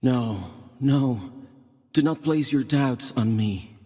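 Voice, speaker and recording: An actor playing a resolute, devout warrior in a fantasy game, with a husky voice and a grave, portentous manner. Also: male voice, medium pitch, animated, takes it slowly, dark tone. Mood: foreboding. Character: resolute, devout male warrior addressing his sister. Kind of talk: scripted fantasy game dialogue. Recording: long reverb.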